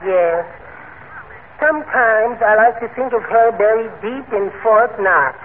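An actor playing a meek, henpecked little poet in a timid, prim, genteel manner, in 1940s radio comedy style. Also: male voice, sits high, expressive, a little slow. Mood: sweetly aggrieved. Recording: noisy, tinny old radio broadcast.

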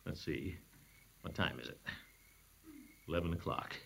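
A man's voice: a bass voice, a little slow, vocal fry, noisy background, exaggerated voice. An actor playing a pompous, blustering middle-aged bachelor uncle in a 1940s radio comedy, chuckling to himself as he talks. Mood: muttering. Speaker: comic lead in a radio sitcom.

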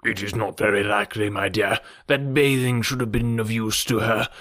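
A man voicing a fussy, anxious elderly gentleman who dotes on his daughters and worries over health, in an audiobook. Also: fairly low pitch, steady tone, normal speed, dry recording, clean studio sound, natural voice. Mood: fretful doting. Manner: doting, worried, gently insistent. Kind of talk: audiobook character reading.

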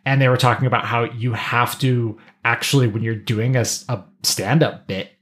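A man's voice: medium pitch, steady tone, moderate pace, fairly bright tone. A man hosting a podcast, talking casually about pop culture and thinking aloud in a rambling way. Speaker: podcast host.

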